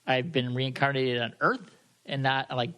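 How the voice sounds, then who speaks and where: A man co-hosting a podcast in casual banter, joking in a halting, repetitive way. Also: medium pitch, bright, forceful tone, smooth. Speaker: podcast co-host.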